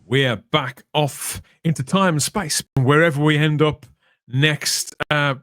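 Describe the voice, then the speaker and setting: A man presents a podcast in a loose, conversational style, with a slightly higher-pitched voice. He is chatty and wryly jokey, and the sound is close and dry. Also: steady tone, takes it slowly, a bit bright, no room sound. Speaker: podcast host. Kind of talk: casual podcast chat.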